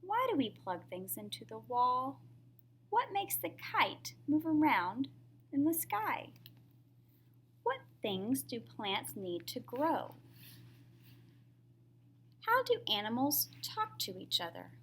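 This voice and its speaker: A woman's voice: high voice, big pitch swings, slow, pauses often, fairly bright tone, slight background noise. A woman reading a picture book aloud to young children in a teacherly way, often putting questions to the listener.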